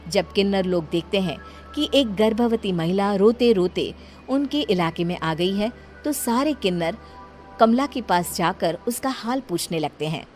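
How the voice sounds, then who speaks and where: A woman's voice: medium pitch, animated, a little slow, darkish tone. A woman reading a scripted story as its narrator. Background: background music.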